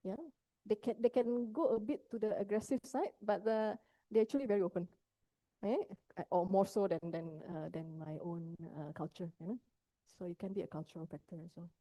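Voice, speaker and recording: Woman psychologist, deep mellow tone, engaging workshop teacher who keeps checking in with her audience. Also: fairly quick, dry recording, poor audio. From Indonesia. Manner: engaging, checks in with listeners often. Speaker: psychologist giving a talk. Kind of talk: mental health workshop talk.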